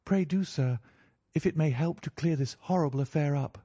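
A man voicing an earnest, gentle young lady with a polite, pleading manner in a storybook reading. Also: fairly high pitch, normal speed, no echo, studio quality, voice pushed up. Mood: polite, candid.

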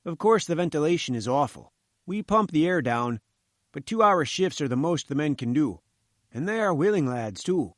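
A man's voice: on the high side, expressive, normal speed, no echo, studio quality, close to an everyday voice. A man voicing a thin, melancholy head engineer in an audiobook, talking in a gloomy, worried manner. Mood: matter-of-fact, weary.

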